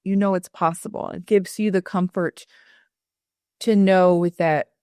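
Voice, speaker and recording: A woman attorney from South Texas talking candidly as an interview guest, earnest and reflective, often pausing with fillers and restarting her sentences. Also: on the low side, steady tone, dark tone, smooth, no echo, clean studio sound. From Rio Grande Valley, Texas.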